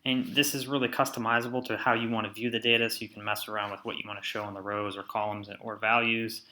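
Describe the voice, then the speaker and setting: A man giving an informal, step-by-step software walkthrough as he narrates his screen in a casual, instructional way. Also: on the low side, level tone, fast, slight background noise. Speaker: software tutorial presenter. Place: voice-over for a screen recording.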